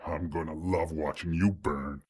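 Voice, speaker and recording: An actor playing a threatening, taunting demon prisoner with a husky voice in an audio drama. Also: male voice, rumbling low, steady tone, a little slow, heavily acted. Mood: gloating menace. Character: menacing demon prisoner hiding under a cloaking spell.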